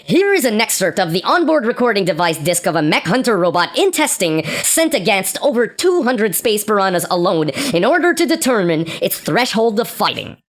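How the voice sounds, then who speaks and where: A woman's voice: mid-range voice, sing-song, normal speed, few pauses, lightly acted. A woman playing a blunt, wry starship captain and anchor in an amateur sci-fi comedy radio play. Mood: newscaster delivery. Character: exasperated, sweary starship captain and news anchor.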